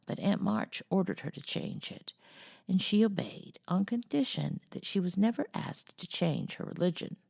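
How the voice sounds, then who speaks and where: A woman narrates a classic novel in a low voice full of heavy vocal fry. Her tone is soft and mellow, and her pitch moves in lively swings as she reads in a measured, cozy storybook style. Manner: measured, cozy read-aloud delivery. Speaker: storyteller, host of a read-aloud series.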